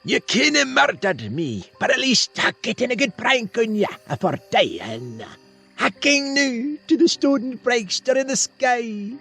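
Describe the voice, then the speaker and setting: A man's voice: very high-pitched, wildly swooping pitch, slow, vocal fry, pushed and bright, faint music behind, voice pushed up, heavily acted. A man voicing a small, prankish fawn creature in a fantasy audiobook, with mocking, folksy speech. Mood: taunting. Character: dying prankish fawn-like male creature, taunting his killer.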